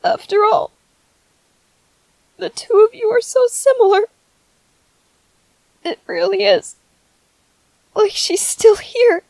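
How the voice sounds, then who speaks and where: A woman's voice: extremely high pitch, darkish tone, no room sound, exaggerated voice, rough recording. Woman playing a grieving, bitter young widow. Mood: soothing. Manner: slow, heavy with grief, at times tearful. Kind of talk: emotional dramatic monologue.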